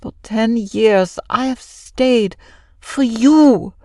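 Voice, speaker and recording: Slow, pleading, devoted wife. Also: female voice, a little high, mellow, dry recording. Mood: bitter pleading. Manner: dramatic, deliberate, imploring. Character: devoted, long-suffering wife of a madman, pleading. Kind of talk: old-time radio drama acting.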